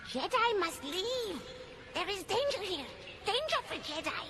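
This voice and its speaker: A woman's voice: very high-pitched, big pitch swings, measured pace, exaggerated voice. A woman voicing a small, wizened alien Jedi hermit in a sci-fi audio drama, speaking in odd, cryptic broken phrases. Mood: alarmed warning. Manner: broken, cryptic speech in third person. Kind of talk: sci-fi radio drama acting. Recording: background effects and ambience.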